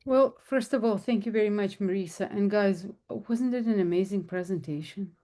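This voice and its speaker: A woman's voice: mid-range voice, moderate pace, warm tone. Russian-accented woman, earnest guest speaker, emphatic and repetitive. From former Soviet Union. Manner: earnest, emphatic, repeats herself. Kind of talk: casual talk to an audience.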